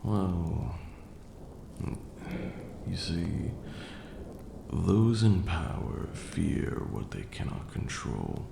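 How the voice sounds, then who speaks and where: A man playing an ancient vampire lord, proud, wistful and tender, telling his tale in a hushed, formal, measured way as an intimate ASMR roleplay. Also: low-pitched, sing-song, vocal fry, roomy sound, noisy background, exaggerated voice. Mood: proud, reminiscing.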